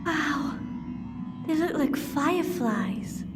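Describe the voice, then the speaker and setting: Sci-fi audio drama companion, female actor: young, hesitant, slow-paced, earnest, long echo, loud score behind her. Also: sits high, lively intonation, full character voice. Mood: curious. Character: young female companion of time traveller, questioning, anxious. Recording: long reverberant hall, loud music behind. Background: loud dramatic music.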